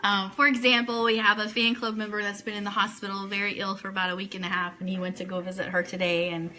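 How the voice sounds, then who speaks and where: A woman's voice: medium pitch, little pitch movement, fast talker, pushed and bright, poor audio. A woman hosting a casual fan radio show live, chatting informally and with enthusiasm.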